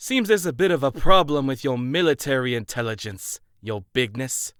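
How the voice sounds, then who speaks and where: A man's voice: mid-range voice, animated, moderate pace, a bit bright, clear, resonant tone, no room sound, studio quality, lightly acted. A man playing a cocky, sardonic villain in a comic dub, teasing and mocking as he speaks.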